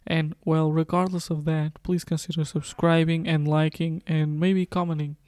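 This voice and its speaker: A man reading a scripted video game review in a measured, matter-of-fact manner, recorded as a close, dry voice-over. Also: on the high side, flat delivery, darkish tone, smooth, dry recording.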